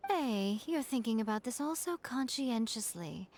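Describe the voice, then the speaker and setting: A woman's voice: fairly high pitch, sing-song, a little slow, a little mellow, heavily acted. A woman playing a poised, self-assured shrine priestess in a video game, with a playful and knowing manner. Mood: teasing. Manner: playful, knowing, smooth-talking. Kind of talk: scripted video game voice acting. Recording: clear game music behind. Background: game music.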